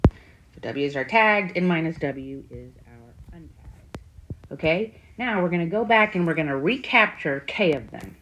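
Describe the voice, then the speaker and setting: Low-pitched woman teaching math informally. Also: lively intonation, a little slow, fairly bright tone, poor audio. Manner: thinking aloud, frequent check-in questions. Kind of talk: informal whiteboard math tutorial. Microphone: screen-recording voice-over. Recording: faint background noise with clicks.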